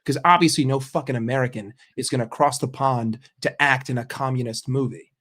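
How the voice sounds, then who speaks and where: A man's voice: medium pitch, a little fast, a bit bright. A young man streaming, chatting casually with his viewers, joking and swearing freely. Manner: profane, joking, reacting to chat. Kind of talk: casual livestream chat.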